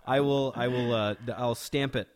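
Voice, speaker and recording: A man's voice: medium pitch, fairly even intonation, normal speed. A man hosts an informal interview, asking questions in a loose, conversational way. He restarts his phrasing often and slips into friendly teasing. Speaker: interview host.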